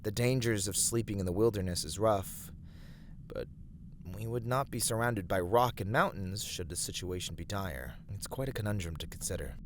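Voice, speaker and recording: A man acts out a steadfast male adventurer in a fantasy audio roleplay. His voice sits on the low side, with measured, slightly formal phrasing that encourages and plans. Clear background ambience runs behind the voice. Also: noisy background, lightly acted. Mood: thoughtful, deliberative. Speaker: voice actor in a roleplay. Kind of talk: scripted ASMR fantasy roleplay addressed to the listener.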